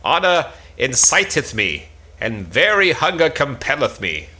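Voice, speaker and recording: A man's voice: mid-range voice, sing-song, a little slow, bright, forceful tone, low-quality recording. Man playing a pompous, blustering, bloodthirsty knight. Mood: grandly pompous. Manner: pompous, bombastic, declamatory. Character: Sir Tophas, boastful bloodthirsty pompous would-be knight.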